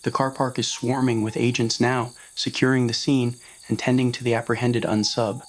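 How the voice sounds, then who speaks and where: A man's voice: mid-range voice, level tone, normal speed. A man reading a scripted romantic roleplay story as narrator, in an ASMR audio style.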